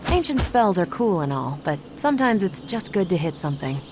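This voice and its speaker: Low-voiced, breezy game heroine. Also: female voice, big pitch swings, brisk, background noise. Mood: wry, casual. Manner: casual, teasing. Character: breezy, wry, confident young heroine. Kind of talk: video game voice acting. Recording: phone-like sound over clear background music.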